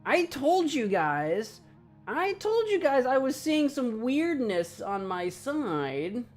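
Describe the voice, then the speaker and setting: A woman streaming a game, chatting casually, cracking jokes, laughing often and thinking out loud. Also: high-pitched, very animated, slow pace, faint music behind. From United States. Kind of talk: casual live-stream commentary.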